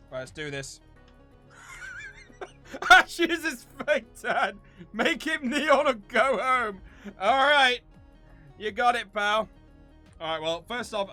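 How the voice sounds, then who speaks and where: A high-voiced man of around thirty hosts a casual vlog over music. His delivery swings widely, playful and theatrically mock-serious as he addresses viewers. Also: lively intonation, slow pace, pauses often, bright, forceful tone. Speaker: channel host.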